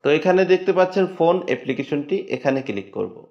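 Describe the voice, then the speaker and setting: A man narrating a step-by-step phone settings tutorial in a plain, steady instructional tone. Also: on the high side, fast talker, gravelly. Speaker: tech tutorial host. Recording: dull lo-fi voice-over.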